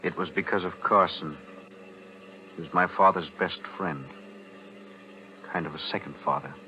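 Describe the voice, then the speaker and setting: An actor playing a composed, principled lawyer with measured, firm delivery in a 1940s radio thriller. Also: male voice, deep voice, fairly even intonation, sharp tone. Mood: calm resolve. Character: composed, principled male lawyer, quietly resolute. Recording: muffled, noisy vintage radio broadcast.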